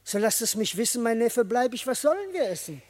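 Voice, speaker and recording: An actor playing an earnest, gullible tomcat messenger in a verse radio play, declaiming formally. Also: male voice, extremely high pitch, sing-song, brisk, a little mellow. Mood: eager. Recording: long hall-like reverb.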